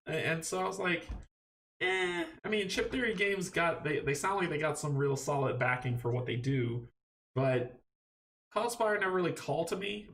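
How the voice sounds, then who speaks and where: A man's voice: a little high, a bit bright, slight background noise. A young man from Guyana, hosting a casual live stream and answering viewers' questions in an upbeat, rambling way that often restarts its sentences. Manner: rambling, self-correcting, upbeat.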